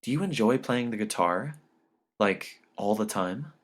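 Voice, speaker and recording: A man's voice: on the low side, lively intonation, a little slow. A man reading an audiobook, voicing a melancholy female singer who speaks in short, blunt questions. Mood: quiet, curious. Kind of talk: audiobook character dialogue.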